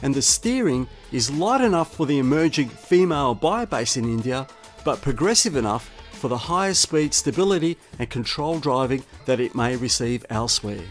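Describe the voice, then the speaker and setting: A man's voice: medium pitch, lively intonation, moderate pace. A man reading a scripted car review narration as the reviewer. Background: music bed.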